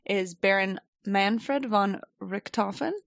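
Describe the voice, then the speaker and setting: A woman's voice: mid-range voice, slow, vocal fry, dry recording, muffled recording. A woman co-hosting a podcast, telling a story in a chatty, rambling way and laughing often. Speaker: podcast co-host. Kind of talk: casual storytelling chat. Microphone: desk microphone.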